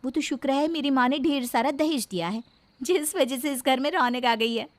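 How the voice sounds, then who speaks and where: A woman's voice: high voice, normal speed, close to an everyday voice. A woman voicing an arrogant, boastful young daughter-in-law in a scripted story, with a haughty, taunting manner. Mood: boastful, mocking.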